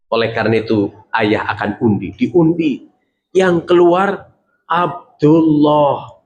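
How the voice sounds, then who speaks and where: A man's voice: fairly high pitch, slow pace, a little mellow, lo-fi sound. A middle-aged man, preaching a sermon as a story, acting out the voices he quotes and putting questions to the audience.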